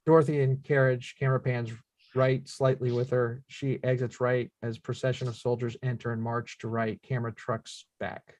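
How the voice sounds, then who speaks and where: A man's voice: medium pitch, level tone, moderate pace, a little mellow, no echo. Man reading stage directions, dry close sound. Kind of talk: scripted narration of screenplay action at a live table read.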